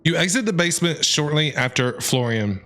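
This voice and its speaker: A man's voice: on the high side, expressive, moderate pace, bright, forceful tone. A man streaming a video game, chatty and reacting aloud in casual live commentary. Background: game music.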